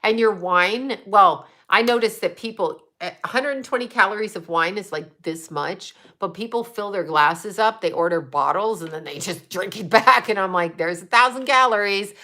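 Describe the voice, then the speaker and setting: Woman, fifties, livestream host, bright tone, blunt, swears freely, chatty. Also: mid-range voice, moderate pace, few pauses. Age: 50s. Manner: blunt and profane, trash-talking trolls between chatty tips. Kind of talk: casual livestream chat answering comments.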